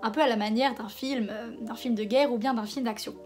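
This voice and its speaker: Hoarse woman talking to camera. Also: on the high side, steady tone, brisk, darkish tone, faint music behind. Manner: conversational, hesitant fillers and restarts. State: hoarse from a cold. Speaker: video essayist host. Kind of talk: casual commentary video essay.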